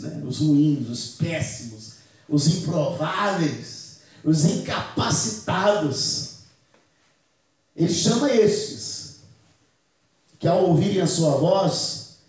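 A man's voice: a little high, mellow. A man in his thirties preaching a Pentecost sermon as pastor in a bare church hall, speaking into a handheld microphone and leading the congregation in repeating phrases. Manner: preaching with call-and-response, gesturing with a handheld mic. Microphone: handheld mic. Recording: very echoey hall, from a distance.

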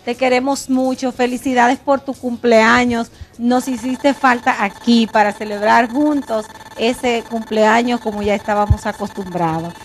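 A woman co-hosting a TV show on a handheld mic, lively and quick to laugh. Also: fairly high pitch. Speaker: TV talk show co-host. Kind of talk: reading ads and casual chat. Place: TV studio set. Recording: TV broadcast with background music.

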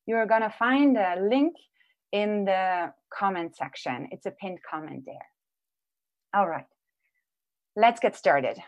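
A woman in her forties, born and raised in Austria, talks her audience through her work on a live video call. She speaks in a thoughtful, unhurried way, often pausing on an um or starting a phrase again. Her voice is mid-pitched and comes through an earphone headset in a dry, close room. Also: no room sound, muffled recording. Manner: thoughtful, with frequent ums and restarts. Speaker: artist giving a live virtual talk. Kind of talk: casual presentation. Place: small room at home.

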